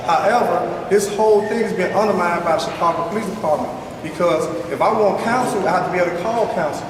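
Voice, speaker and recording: A man's voice: high-pitched, quick pace, a crowd in the background. A man giving insistent, plain-spoken personal testimony as a community speaker at a press conference. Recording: very echoey hall, distant mic, noisy.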